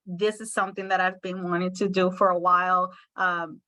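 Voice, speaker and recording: A woman's voice: lowish voice, flat delivery, normal speed, bright, forceful tone. A woman giving a presentation on a video call, eager and friendly. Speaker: guest presenter.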